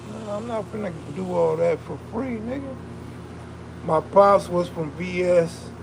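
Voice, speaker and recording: A man's voice: on the high side, background noise. A man hosting a casual live stream, chatting in a laid-back, slangy, streetwise way with a soothing, soulful voice and reading comments aloud.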